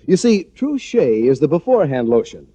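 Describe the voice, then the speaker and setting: A male announcer sells in scripted 1940s radio style. His voice is mellow and very varied in pitch. It sounds close and dry on a muffled old recording. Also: big pitch swings, brisk, slightly rough, no echo. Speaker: commercial announcer.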